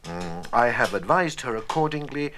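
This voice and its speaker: Brisk, courteous male hospital doctor, played by an actor, radio play style, bright and lively in pitch, faint noise behind. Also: lightly acted. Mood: measured, dictating. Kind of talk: educational radio play acting, dictation and phone calls.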